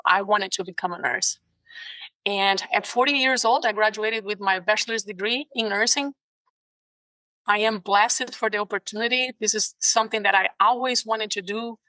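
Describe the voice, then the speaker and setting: Middle-aged Brazilian woman, Portuguese native, earnest guest, bright tone, dry close sound. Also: medium pitch, no room sound, poor audio. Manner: earnest and heartfelt. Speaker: guest, ICU nurse. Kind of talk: podcast interview.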